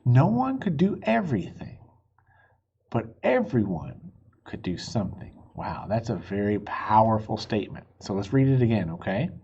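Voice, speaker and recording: A man reading a picture book aloud to children in an encouraging, husky voice, pausing to explain and ask questions. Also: lowish voice, big pitch swings, warm tone, dull audio. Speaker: children's story reader.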